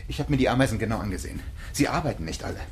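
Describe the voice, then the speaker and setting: A man's voice: on the low side, animated, racing. An actor playing a pensive, curious man who leads a starship colony in a radio drama. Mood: persuasive. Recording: clear background ambience noise.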